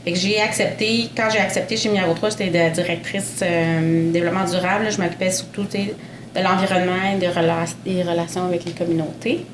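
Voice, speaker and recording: A woman's voice: on the low side, fairly even intonation, quick pace. A woman in her forties, a Portuguese speaker, telling her career story as a panel guest with many fillers and restarts. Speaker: panel guest, mining executive. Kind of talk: conversational interview. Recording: echoey room with background noise.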